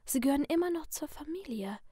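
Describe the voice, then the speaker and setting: A woman voicing a sinister masked male killer in a slow, hushed, toying whisper. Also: sits high, expressive, no echo, studio quality, voice pushed up. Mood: menacing whisper.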